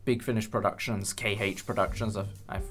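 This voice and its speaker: A young man chatting casually in an interview, hesitant and rambling with many pauses. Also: lowish voice, fairly even intonation. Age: young adult. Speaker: creator of a fan audio drama. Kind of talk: casual behind-the-scenes interview. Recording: light music bed under speech. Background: background music with guitar.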